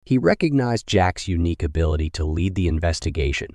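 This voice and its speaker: A man's voice: low-pitched, lively intonation, fast talker, darkish tone, no echo, studio quality. A man narrating a scripted crime story in a dramatic storytelling style.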